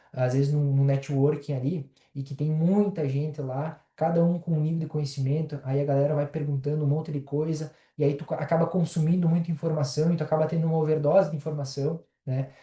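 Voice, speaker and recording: Dark-voiced man, hesitant. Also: a little high, reverberant. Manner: relaxed, hesitant with many fillers. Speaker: podcast guest, affiliate marketer. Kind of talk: casual podcast chat.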